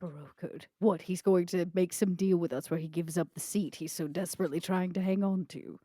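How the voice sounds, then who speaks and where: A woman playing a haughty, scheming female vampire in a tabletop game, with a dry, sardonic, deliberate delivery. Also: on the low side, steady tone, dark tone, no echo, lightly acted. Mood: scornful.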